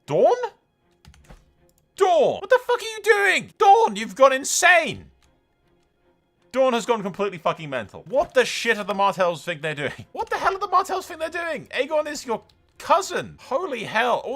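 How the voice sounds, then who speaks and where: A man's voice: very high-pitched, big pitch swings, normal speed, a bit bright, soft background music. A man doing casual let's-play commentary, sweary and blunt, slipping between exclamations and in-character roleplay narration. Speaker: gaming video host.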